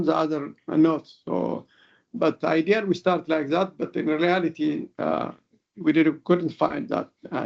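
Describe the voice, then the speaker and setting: A man with an Ethiopian accent giving an explanatory webinar lecture as a researcher, speaking haltingly with frequent hesitations. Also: on the high side, gravelly, darkish tone. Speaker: researcher and professor giving an expert talk. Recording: dull, lo-fi webinar audio.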